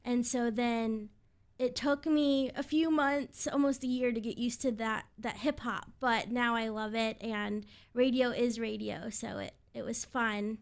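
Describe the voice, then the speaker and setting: A woman's voice: on the high side, fairly even intonation, measured pace. A woman in her twenties, a radio presenter, giving upbeat conversational answers as an interview guest. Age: 20s.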